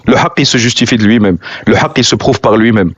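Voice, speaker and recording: Fast-talking man hosting a debate stream. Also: mid-range voice, breathy edge, no echo, lo-fi sound. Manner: rapid, preachy, self-correcting. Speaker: live stream host. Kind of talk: religious debate talk.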